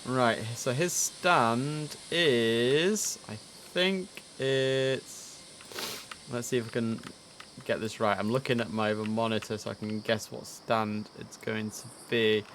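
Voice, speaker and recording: A man's voice: medium pitch, slow pace, a bit bright, slight background noise. A man hosting a casual live game stream, chatting and musing aloud as he commentates. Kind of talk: casual live gameplay commentary on an air traffic control simulator.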